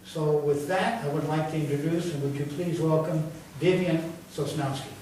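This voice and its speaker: A man, the event host, gives a formal, measured introduction of the guest speaker. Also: on the high side, fairly even intonation. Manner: reads out awards in a measured way, with fillers. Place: large echoey room from far off. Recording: echoey room, distant mic, faint noise.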